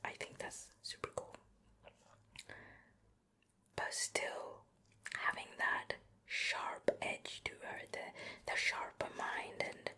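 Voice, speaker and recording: A woman hosting an ASMR show and tell in a soft breathy whisper, lingering over her words, close to the microphone from ear to ear. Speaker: ASMR video host. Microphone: close ear-to-ear stereo microphone.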